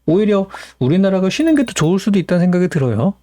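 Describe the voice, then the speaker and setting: A man's voice: sits high, very animated, quick pace, mellow, no echo, soft background music. A man hosting as a stock market analyst, explaining things in an informal, conversational commentary style.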